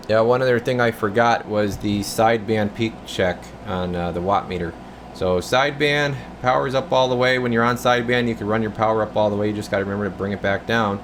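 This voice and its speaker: A man giving a casual, methodical hands-on equipment demo as a technician, in a matter-of-fact technical way. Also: mid-range voice. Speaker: radio technician, channel host. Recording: steady room hum and background noise.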